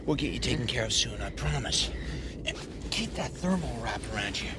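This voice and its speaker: An actor playing a wry, gruff but reassuring smuggler in a radio drama, with casual, clipped speech. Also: male voice, mid-range voice, animated, fairly quick, close to an everyday voice. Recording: loud storm noise behind.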